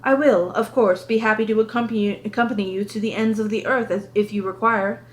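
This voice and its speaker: A woman's voice: medium pitch, steady tone, brisk, lightly acted. A woman voicing a mannerly, deferential grandson in a fantasy storybook, with courtly, very polite phrasing. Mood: courtly politeness. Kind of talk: audiobook character reading.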